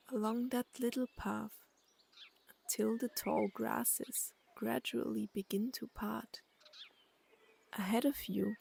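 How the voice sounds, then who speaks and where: A woman narrating a guided meditation and story in an unhurried, soothing way, with long pauses between phrases. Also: medium pitch, gravelly, darkish tone, a little hiss or hum.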